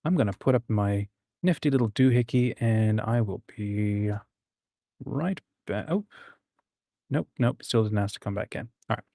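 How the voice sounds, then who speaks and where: A man's voice: lowish voice, normal speed, mellow, no room sound, clean studio sound. A man hosting a livestream in a casual, informal and encouraging chatty way. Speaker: host of a writing community livestream.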